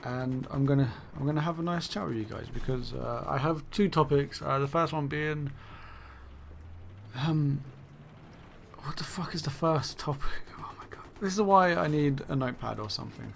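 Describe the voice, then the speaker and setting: A man's voice: a little high, normal speed, vocal fry, a little hiss or hum. A man chatting casually as a gaming YouTuber, rambling and self-correcting, his voice worn and fading. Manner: rambling, self-correcting, swears then laughs it off. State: losing his voice. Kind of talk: casual commentary monologue.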